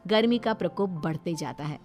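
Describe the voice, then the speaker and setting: A woman's voice: lowish voice, lively intonation, normal speed, a little mellow, soft background music. A woman reading scripted narration for a cartoon story, as its storyteller.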